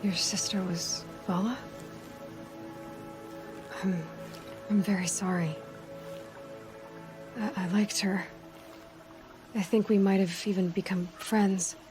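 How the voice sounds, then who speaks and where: A woman's voice: medium pitch, steady tone, slow, pauses often, natural voice. Actress doing young huntress girl from a game, guarded, direct, asks questions, music playing behind her. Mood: sorrowful. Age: young adult. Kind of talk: video game voice acting.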